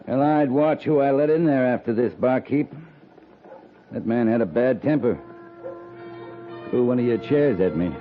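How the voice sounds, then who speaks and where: An American actor playing a stern, menacing cavalry captain in a 1950s radio western, with a clipped, dry, sardonic delivery. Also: male voice, mid-range voice, normal speed, pauses often, over music, lightly acted. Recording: muffled, noisy 1950s radio recording.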